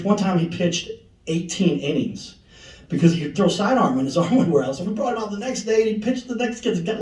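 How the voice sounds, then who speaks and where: A man's voice: a little high, darkish tone. A man hosting an induction ceremony, rambling and emotional, with laughing asides. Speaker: master of ceremonies. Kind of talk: speaking at a banquet podium. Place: large echoey banquet hall. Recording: roomy hall, voice from far off.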